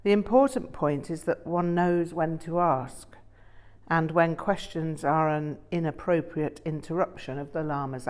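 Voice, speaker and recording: Slow, even-toned woman reading an audiobook. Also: low voice. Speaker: audiobook reader. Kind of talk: measured scripted narration of a memoir.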